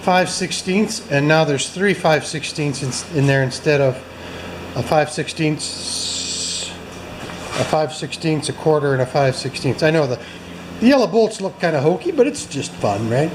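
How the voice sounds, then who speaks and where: A man's voice: a little high, animated, a little slow, background noise. A man hosting a workshop video, chatting casually and joking with viewers while he works in a garage. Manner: chatty, self-mocking, talks to viewers while working.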